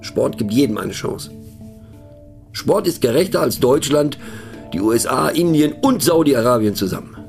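A man's voice: mid-range voice, lively intonation, normal speed, vocal fry, a little mellow, faint music behind. An actor playing a middle-aged male narrator in a radio play monologue, musing and mocking, thoughtful and hesitant, often turning to question the listener. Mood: mock-earnest. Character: musing, mocking male narrator, rambling to fellow train passengers.

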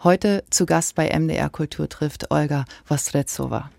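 A radio host conducting a culture interview, asking calm, measured questions. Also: mid-range voice, vocal fry, no echo, studio quality, faint music behind.

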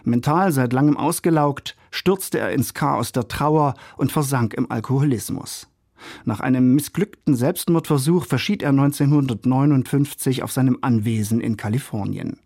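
A man's voice: mid-range voice, moderate pace, creaky, a little mellow, dry recording. Guy reading formal radio review, mid voice, dry close mic. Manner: formal, literary phrasing. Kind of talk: scripted radio feature narration.

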